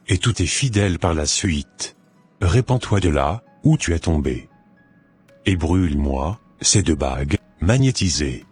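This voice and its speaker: A man's voice: sits low, breathy edge, exaggerated voice. A man voicing a stern, devout pastor. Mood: admonishing. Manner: solemn, preachy, fervent in prayer.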